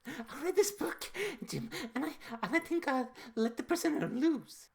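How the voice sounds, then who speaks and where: A man's voice: very high voice, lively intonation, moderate pace, creaky, exaggerated voice. A man voicing an anxious, bookish, earnest male character in a dramatic, urgent amateur story reading. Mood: alarmed.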